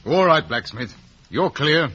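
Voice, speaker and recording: Commanding soldier-sergeant, high-pitched bark, wide swings, noisy old radio sound. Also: male voice, animated, a little slow. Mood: barking orders. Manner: commanding, barking. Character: brisk, blustering army sergeant in the king's service. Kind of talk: 1950s radio drama acting. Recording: noisy, dull vintage radio broadcast.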